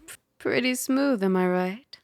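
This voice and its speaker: A woman playing a caring, teasing dragon girl roommate in an ASMR roleplay, soft, chatty and gently instructive. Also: mid-range voice, measured pace, dry recording, studio quality, close to an everyday voice. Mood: gentle, reassuring.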